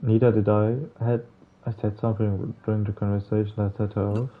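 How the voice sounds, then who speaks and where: A man reading visual novel text aloud as a playthrough host, stumbling over words now and then in a halting way. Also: on the low side, little pitch movement. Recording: muffled lo-fi mic, music behind. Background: game music.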